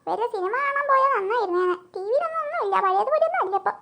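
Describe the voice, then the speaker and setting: A performer voicing an excitable, whiny young girl in a cartoon comedy, given to pleading and exclaiming. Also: very high voice, racing, gravelly, exaggerated voice. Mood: complaining.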